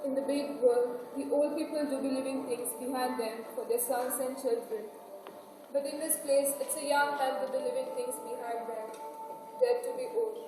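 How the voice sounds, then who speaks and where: A woman's voice: high voice, moderate pace, natural voice. A woman playing a sorrowful old Irish mother in an amateur stage play, mournful and lamenting, recorded in an echoey hall. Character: sorrowful old Irish mother fearing for her last son. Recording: very echoey hall, distant mic, background music.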